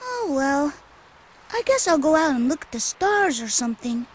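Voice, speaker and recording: A woman voicing a restless, excitable young child in a video game cutscene. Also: high voice, moderate pace, rough recording. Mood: musing.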